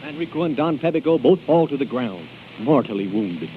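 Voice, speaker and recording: A man's voice: medium pitch, very animated, fairly quick, lightly acted. A man playing a melodramatic, mock-serious narrator of a comic opera in 1930s radio style. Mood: mock-dramatic. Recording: tinny 1930s radio broadcast, loud music. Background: loud music.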